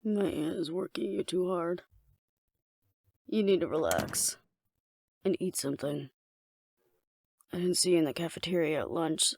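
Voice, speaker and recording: Woman playing a flirty, gravelly alpha werewolf woman. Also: lowish voice, darkish tone, dry recording, studio quality, exaggerated voice. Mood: caring, chiding. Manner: teasing, coaxing, playfully domineering. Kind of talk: intimate scripted audio roleplay addressed to the listener.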